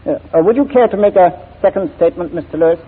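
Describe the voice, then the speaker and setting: An actor playing a blustery, easily flustered general in a 1940s radio drama, hemming and repeating himself with official authority. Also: male voice, high voice, quick pace, breathy edge. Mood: amazed. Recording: thin, phone-like 1940s radio recording.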